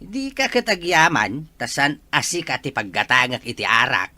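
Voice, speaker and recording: A man's voice: medium pitch, big pitch swings, normal speed, bright, forceful tone. A man acting a jovial, liquor-loving old grandfather in an audio drama, with an Ilocano accent. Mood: teasing.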